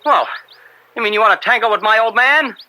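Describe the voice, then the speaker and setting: Cocky, high-voiced young American punk. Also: male voice, brisk, bright, forceful tone, poor audio. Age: young adult. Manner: brash, slangy, stammering under pressure. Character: cocky young gangster's son, wheedling and nervous. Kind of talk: old-time radio drama acting. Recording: vintage radio drama broadcast.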